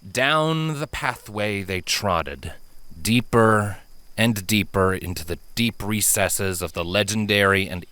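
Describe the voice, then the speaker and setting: An audiobook narrator delivers scripted fantasy prose with drama. The voice is bright and expressive in pitch, captured in a dry recording. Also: no echo.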